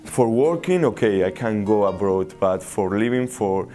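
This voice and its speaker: A man's voice: lowish voice, animated, moderate pace, mellow, faint music behind. A man answering interview questions, searching for words. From Spain.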